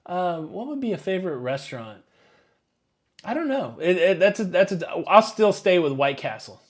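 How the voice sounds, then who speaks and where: A man's voice: high voice, big pitch swings, normal speed. A man around fifty hosting a live stream, reading viewer questions and answering in a rambling, chatty way with many fillers. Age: 50s. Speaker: YouTube cruise channel host. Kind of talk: live Q&A chat reading super chats.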